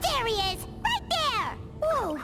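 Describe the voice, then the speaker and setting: A woman voicing a tiny, excitable fairy companion in a video game, with a squeaky, childlike voice. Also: takes it slowly, loud music behind the voice, exaggerated voice. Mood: excited, alarmed. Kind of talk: scripted video game acting.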